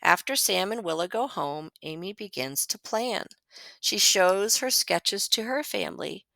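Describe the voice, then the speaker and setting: A woman reading a children's picture book aloud as a librarian would for students, with deliberate, dramatic emphasis on words. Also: mid-range voice, animated, vocal fry, bright, forceful tone, dry recording.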